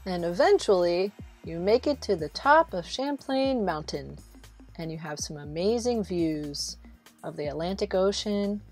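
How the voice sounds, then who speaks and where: A woman's voice: lowish voice, animated, a little slow, darkish tone. Woman narrating a trail guide over music. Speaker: travel vlog host narrating a hiking guide. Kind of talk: casual instructional voice-over narration. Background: background music.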